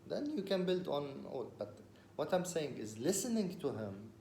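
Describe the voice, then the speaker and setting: A man's voice: on the high side, very animated, normal speed, vocal fry, warm tone, a little hiss or hum. Earnest Levantine man in a roomy space. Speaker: discussion partner explaining a recorded talk. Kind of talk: casual explanatory conversation.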